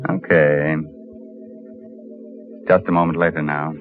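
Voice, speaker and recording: An actor playing a sardonic, macabre horror host, narrating an old-time radio show in a wry, gloating, theatrical way. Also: male voice, very deep voice, flat delivery, slow. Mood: wry, macabre relish. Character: sardonic, macabre male storyteller of horror tales. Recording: muffled old radio recording with music.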